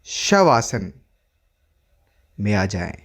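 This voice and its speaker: Very slow-paced man guiding meditation. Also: fairly low pitch, sing-song, a bit bright, husky. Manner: short instructive phrases with long pauses, repetitive. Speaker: yoga instructor. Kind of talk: guided meditation, yoga nidra instruction.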